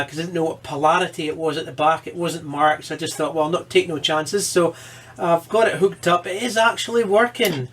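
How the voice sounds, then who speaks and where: Higher-voiced man demonstrating radio repairs. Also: a bit bright. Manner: informal, thinking aloud while working. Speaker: presenter of a hobby electronics repair video. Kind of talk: casual hands-on demonstration commentary. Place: workshop, at a workbench.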